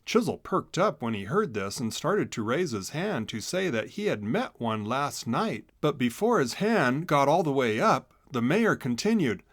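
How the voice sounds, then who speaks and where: A man's voice: mid-range voice, sing-song, measured pace, hardly any pauses, no echo, clean studio sound. A man narrating a children's story in a scripted read-along style.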